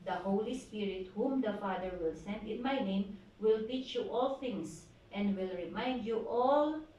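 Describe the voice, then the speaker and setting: A woman pastor with a Filipino accent preaching at a prayer meeting, asking the congregation questions and reading scripture slowly. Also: mid-range voice, warm tone, lo-fi sound. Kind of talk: sermon exhortation at a prayer meeting. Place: church hall. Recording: echoey hall from far off, faint noise.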